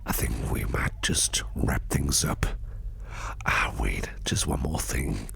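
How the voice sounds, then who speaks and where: A man playing a shady, intimidating and flirtatious company boss in an ASMR roleplay, teasing and insinuating, in a deep gravelly voice that often sinks to a breathy near-whisper. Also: a bit bright, exaggerated voice. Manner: slow, insinuating, smooth-talking, teasing. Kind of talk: ASMR roleplay, close personal address.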